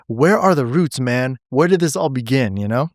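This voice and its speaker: A man's voice: medium pitch, animated, normal speed, no room sound, studio quality. Enthusiastic male podcast host. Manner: enthusiastic, rambling with restarts. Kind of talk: casual interview chat.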